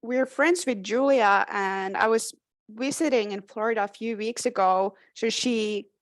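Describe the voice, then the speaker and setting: High-voiced polite woman, dry sound. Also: measured pace, no room sound. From Finland. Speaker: visitor to a club meeting. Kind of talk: casual chat on a video call. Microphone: video call.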